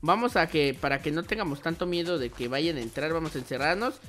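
A young man hosts casual gaming commentary in a bright, lively-edged voice. He is chatty and playful and often addresses his viewers directly. Faint music plays behind him. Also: fairly high pitch, quick pace. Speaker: host of a gaming channel.

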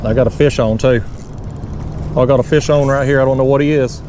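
A man in his thirties from Tennessee with a Southern drawl, casually narrating a fishing trip from his kayak and getting loud and excited over each catch. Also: a little fast. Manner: folksy, excitable drawl, gets loud and high when a fish bites. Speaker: fishing channel host. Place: kayak on a lake, outdoors. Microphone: action camera mounted on the kayak. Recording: outdoor action-cam audio with noise.

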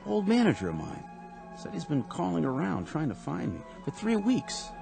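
An actor playing a down-on-his-luck former pitcher in a radio drama, humble and eager. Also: huge pitch swings, moderate pace, creaky, full character voice. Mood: plain. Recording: radio play with music underneath.

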